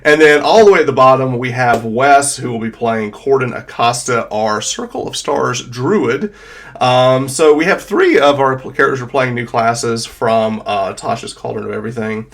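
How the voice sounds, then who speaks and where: A man's voice: mid-range voice, moderate pace, creaky, a bit bright. Congested DM in his thirties, casual rambling recap, video-call desk mic. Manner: casual, rambling with many fillers and restarts. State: congested from a cold. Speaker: dungeon master. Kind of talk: game master recap of a tabletop campaign.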